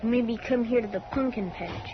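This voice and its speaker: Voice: mid-range voice, brisk, natural voice. A performer playing an earnest eight-year-old farm boy with folksy, rustic country talk, in 1950s radio western style. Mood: earnest, troubled. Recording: muffled, faintly noisy vintage radio recording.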